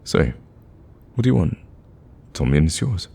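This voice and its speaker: Man acting a very deep, husky, lovestruck villain. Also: very animated, moderate pace, warm tone, exaggerated voice. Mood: devoted, tender. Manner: hesitant, stammering, intimate. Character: lovestruck male villain on a date, awkward yet cocky. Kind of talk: ASMR romance roleplay.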